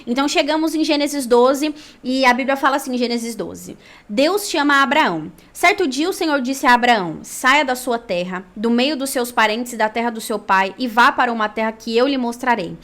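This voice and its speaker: High-voiced Brazilian woman telling her story. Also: fairly quick, a bit bright. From São Paulo, Brazil. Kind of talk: personal storytelling with Bible reading.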